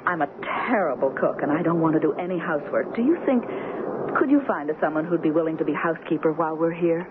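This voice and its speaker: Actress as eager American wife, noisy radio. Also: female voice, sits low, quick pace, close to an everyday voice. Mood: delighted, eager. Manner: gushing, eager. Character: enthusiastic American wife, a painter, eager and sweet. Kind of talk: 1970s radio drama acting. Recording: noisy narrowband old radio broadcast.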